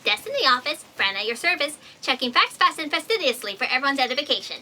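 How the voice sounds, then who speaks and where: A woman's voice: high-pitched, very animated, fast talker, noisy background. A woman playing an anxious, eager, chattering alien office fact checker in a comedic sci-fi audio drama. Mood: eager, chatty. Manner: chattering, breathless, overwrought questioning.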